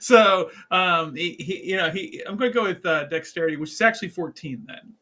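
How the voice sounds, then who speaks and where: A man playing a tabletop game in casual chat, excitable and repeating words as he goes. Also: high-pitched, fairly quick.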